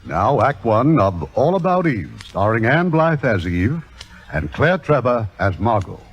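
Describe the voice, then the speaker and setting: A man's voice: mid-range voice, big pitch swings, unhurried. A man announcing and narrating a scripted 1950s radio program in a formal, grand manner. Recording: muffled old radio recording, music behind.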